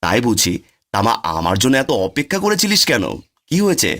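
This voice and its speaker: Man as a gentle, animated village father. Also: medium pitch, a little fast. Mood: puzzled, indulgent. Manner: coaxing, humble, plaintive.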